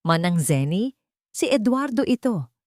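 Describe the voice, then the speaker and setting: A woman voicing a calm, concerned rich man in an audio story, reassuring and direct. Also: medium pitch, a little slow, no room sound, studio quality, pitched above the performer's own voice.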